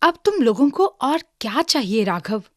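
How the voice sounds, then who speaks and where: Woman acting a high-voiced, chiding mother. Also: sing-song, normal speed, dry recording, studio quality. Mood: gently chiding. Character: gently chiding mother of a student rebel. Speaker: actor. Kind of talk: radio drama acting.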